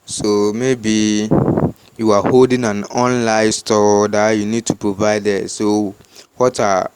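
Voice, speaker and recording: A man with a Ghanaian accent gives unscripted step-by-step instructions in a halting, repetitive way, as a tutorial host. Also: lowish voice, flat delivery, background noise.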